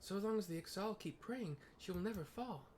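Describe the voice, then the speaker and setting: A man's voice: sits high, expressive, a little fast, a little mellow, slight background noise. A man playing a video game and casually describing what happens on screen for listeners, with loose, hesitant phrasing. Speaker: player narrating a game. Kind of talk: casual live audio description of gameplay.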